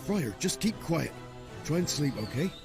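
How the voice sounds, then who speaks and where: A man's voice: on the high side, very animated, moderate pace, creaky. A man playing a pragmatic bandit leader in a video game, firm, authoritative and weary of squabbling. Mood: exasperated. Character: gruffly commanding, pragmatic male bandit leader. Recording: music behind.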